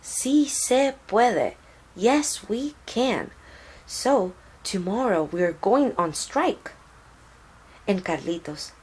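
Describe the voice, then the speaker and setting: A woman voicing a tender, hardworking mother who speaks gently and earnestly to her child in a storybook. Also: medium pitch, animated, drawn-out words, breathy edge, full character voice, rough recording. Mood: determined. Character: tender, hardworking Mexican mother of a young boy.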